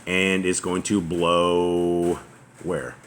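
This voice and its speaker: A man with a loud, booming voice leading a casual family scripture reading in a house open to the outdoors, reading passages aloud. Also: level tone, drawn-out words, slight background noise. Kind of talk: casual group Bible study. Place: house open to the outside, noisy roof. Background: faint outdoor ambience.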